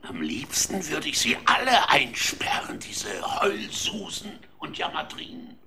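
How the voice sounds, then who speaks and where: A vain, short-tempered monarch from a children's radio play. The voice is high, gravelly and sharply bright. He talks slowly and pompously, bossing everyone around. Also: wildly swooping pitch, full character voice. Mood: grumbling. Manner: pompous, blustering. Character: vain, bossy, short-tempered bearded king.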